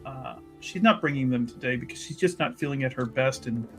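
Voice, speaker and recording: A man's voice: medium pitch, a little fast, a bit bright, faint music behind. A man casually narrating his character's moves in a tabletop roleplaying game, with wry banter.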